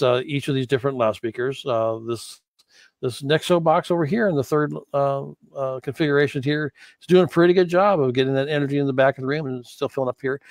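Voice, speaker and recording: A man giving an unscripted, explanatory lesson as an audio instructor, speaking haltingly with frequent pauses. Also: medium pitch, no echo.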